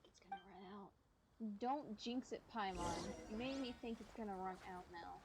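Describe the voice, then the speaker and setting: A woman's voice: mid-range voice, normal speed, very noisy. A woman giving casual reactions as she streams a video game. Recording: voice set back under loud game music.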